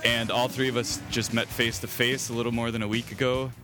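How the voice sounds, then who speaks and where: A man's voice: medium pitch, level tone, fast talker, music in the background. A man hosting a podcast in casual, jokey conversation over an internet call, prone to chuckling. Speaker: podcast host. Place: remote Skype call.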